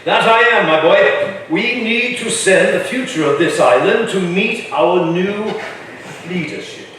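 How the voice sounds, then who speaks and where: A man's voice: high voice, animated, measured pace, fairly bright tone. A man playing a stern colonial official with formal delivery in a staged reading of a historical play. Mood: firm. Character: stern colonial men: indignant grandfather leader, curt governor's secretary. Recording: very echoey room, distant, faint noise.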